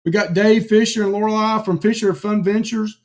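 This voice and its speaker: A man with a high-pitched voice hosts a live stream and chats loosely with his viewers. He calls out names, says "um" and "uh" often, and sounds a little hoarse because his voice is giving out. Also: fairly even intonation, fairly quick, a little mellow. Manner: chatty, greets viewers by name, many fillers. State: losing his voice. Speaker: livestream co-host. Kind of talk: casual live-stream chat.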